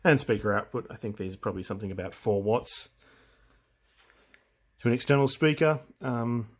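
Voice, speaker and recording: A man giving a casual, unscripted walkthrough of equipment, speaking in a hesitant, halting way as he thinks aloud. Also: medium pitch, expressive. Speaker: hobbyist presenter demonstrating vintage audio gear.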